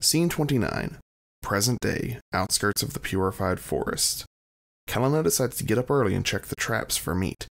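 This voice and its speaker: A man's voice: lowish voice, lively intonation, normal speed, creaky. A man reading scripted narration, announcing the scenes of an audio drama.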